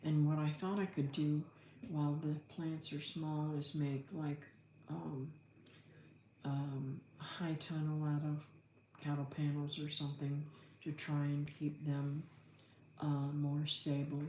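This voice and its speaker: Low-voiced, slow-talking American woman, roomy sound. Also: flat delivery, darkish tone. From Florida, United States. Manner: rambling, thinking aloud, folksy. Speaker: livestream host, homesteader. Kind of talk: casual livestream chat, reading and answering comments. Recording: roomy echo, faint background noise.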